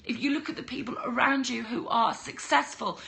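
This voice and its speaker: Woman with a croaky cold voice. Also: a little high, fairly even intonation, fairly quick, bright, forceful tone, rough recording. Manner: chatty, greets viewers, blunt tough-love advice. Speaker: livestream host giving mindset coaching. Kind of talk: casual livestream talk to viewers.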